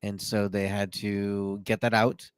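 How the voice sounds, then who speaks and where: A man's voice: lowish voice, completely flat delivery, measured pace, clear, resonant tone, dry recording, clean studio sound. A man co-hosting a podcast, telling a long personal story in casual chat with plenty of fillers and restarts. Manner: talkative storyteller, many fillers. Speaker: podcast co-host.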